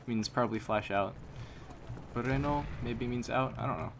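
A man giving live commentary on his shooter gameplay, chatty and talking to himself, sometimes yelling at the game, his voice getting strained. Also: medium pitch, normal speed, vocal fry, noisy background. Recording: home mic under loud game gunfire.

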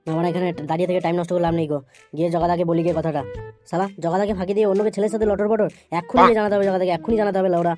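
Woman playing a brash, rapid-fire young guy. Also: fairly low pitch, little pitch movement, a little mellow, soft background music, lightly acted. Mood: shocked, urgent. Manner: sweary, exclamatory, mocking. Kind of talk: amateur comedy cartoon dubbing.

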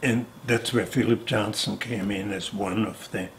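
An older man from Austria, a sculptor answering interview questions in a slow, deliberate way with pauses and restarts, seated indoors. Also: on the low side, steady tone, a little hiss or hum. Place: indoor studio room.

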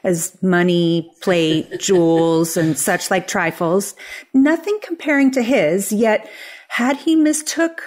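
A woman's voice: mid-range voice, slow pace, darkish tone. Woman as gushing two-faced Athenian lord. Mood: gushing flattery. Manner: gushing, theatrical. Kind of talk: unrehearsed Shakespeare play reading.

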